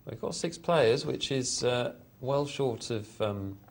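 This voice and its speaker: A man's voice: medium pitch, slow pace. A man hosting a live poker show, commenting on hands in a chatty, hesitant way with lots of fillers. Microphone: studio mic.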